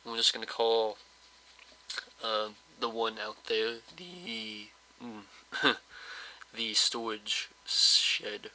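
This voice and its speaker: Flat, rambling man, slightly hoarse. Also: on the low side, poor audio. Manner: rambling, hesitant, full of fillers. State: slightly hoarse, just woke up. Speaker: let's play host. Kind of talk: casual gameplay commentary.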